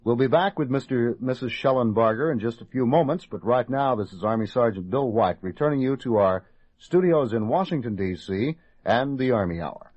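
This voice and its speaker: A man's voice: mid-range voice, animated, moderate pace, a little mellow, no room sound. An American man announcing formally as a military radio host. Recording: dry, dull old radio recording.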